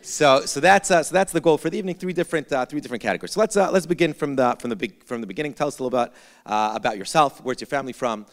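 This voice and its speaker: Male event moderator, average pitch, informal and jokey, restarts phrases, reverberant hall recording. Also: very fast. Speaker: host of a live conversation. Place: event hall before a live audience. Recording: echoey hall sound.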